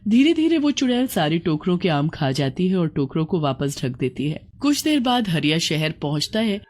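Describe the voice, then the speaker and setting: A woman's voice: on the low side, big pitch swings, moderate pace, a little mellow. A woman narrator reading a scripted moral horror tale in a dramatic storytelling style.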